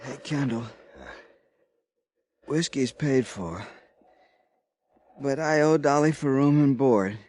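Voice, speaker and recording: An actor playing a weary, wry, badly hurt little man in an Old West radio drama, speaking weakly and haltingly. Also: medium pitch, darkish tone, natural voice. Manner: slow, faltering, folksy. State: weak, fading. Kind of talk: 1950s radio western acting. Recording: old radio recording, faint noise, clicks.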